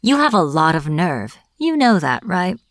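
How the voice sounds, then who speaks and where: A woman playing a smug, teasing witch in an audio roleplay, taunting a captive in a playful, deliberate manner. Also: lowish voice, big pitch swings, no room sound. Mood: teasing menace. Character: smug teasing young-sounding witch, captor of a hunter. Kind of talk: audio roleplay, acted monologue to the listener.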